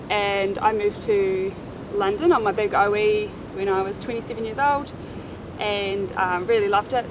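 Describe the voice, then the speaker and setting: A woman's voice: medium pitch, noisy background. A woman in her thirties from New Zealand with a Kiwi accent, chatting casually about her sailing life with many ums. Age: 30s. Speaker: sailing vlogger. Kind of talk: casual chat. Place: outdoors on a boat in Panama.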